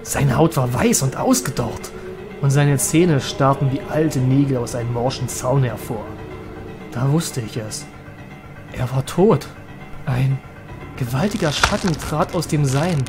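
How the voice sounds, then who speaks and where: A man's voice: medium pitch, unhurried, music in the background, close to an everyday voice. A man playing a haunted, desperate young monster trainer, telling his story in a horror audio drama. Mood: haunted dread. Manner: dramatic, anguished. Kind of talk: first-person horror audio drama narration.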